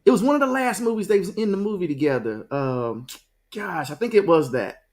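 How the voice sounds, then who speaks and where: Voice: mid-range voice, expressive, a little fast, darkish tone. An interview host asking loose, chatty, rambling questions.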